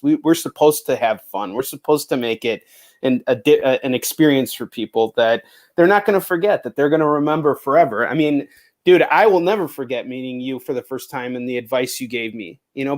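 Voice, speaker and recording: A man hosts a relaxed interview, speaking earnestly and agreeing warmly with his guest. He restarts often and leans on fillers, with a voice at an ordinary middle pitch. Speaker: host. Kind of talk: casual interview chat.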